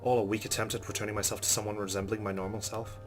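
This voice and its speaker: A man's voice: fairly low pitch, little pitch movement, very fast, faint music behind. A man hosting a let's play, reading visual novel narration aloud and adding casual asides. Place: recorded voice-over with game music behind.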